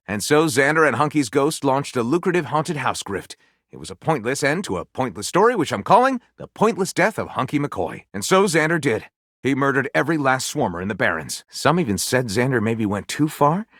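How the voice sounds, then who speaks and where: A theatrical male storyteller from a video game, with a very lively pitch that swings widely. He narrates like a grand storybook, mock-solemn one moment and gushing the next, in a close, dry studio sound. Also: no echo. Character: theatrical, excitable male storyteller, a datable book-loving narrator character. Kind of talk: dramatic tongue-in-cheek storybook narration.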